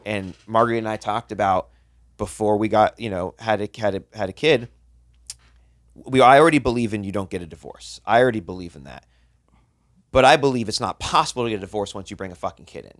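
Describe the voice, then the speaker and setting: A man in his late thirties talks bluntly and rambles, swearing freely. His voice sits a little low, and the recording is close and dry with no room sound. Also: quick pace, no echo. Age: 30s. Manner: blunt, profane, rambling with restarts. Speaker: podcast co-host, chef. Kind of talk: casual podcast chat.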